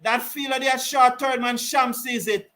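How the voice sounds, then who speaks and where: Guy doing live cricket commentary, high bright voice, gets loud on the sixes. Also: measured pace. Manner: lively, rises excitedly on big shots, Caribbean English phrasing. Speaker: cricket watch-along commentator. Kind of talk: live ball-by-ball commentary reading viewer chat.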